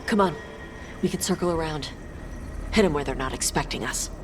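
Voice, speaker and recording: A woman's voice: sits low, fairly quick, noisy background, lightly acted. A woman playing a tough, wry young dragon hunter in a video game, speaking in clipped, blunt phrases. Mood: resolute. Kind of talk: video game character acting. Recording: background music under the voice.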